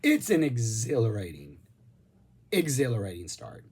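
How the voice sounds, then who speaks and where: A hoarse man losing his voice, rambling enthusiastically about music in a casual review vlog, with lots of ums. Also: mid-range voice, wildly swooping pitch, measured pace, a little mellow. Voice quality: husky.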